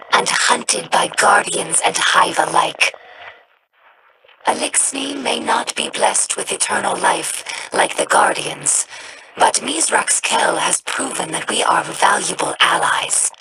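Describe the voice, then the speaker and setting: A woman voicing an earnest, scholarly young female alien in a video game, speaking in measured, formal, thoughtful phrases. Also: medium pitch, vocal fry, heavy background noise. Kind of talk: video game character dialogue.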